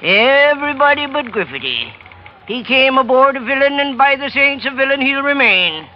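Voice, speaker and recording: An actor playing a wry, roguish Irish villain aboard ship, with a sly theatrical lilt, in old radio drama style. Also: male voice, very high-pitched, sing-song, normal speed. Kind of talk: 1940s radio drama acting. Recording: dull, noisy old radio recording.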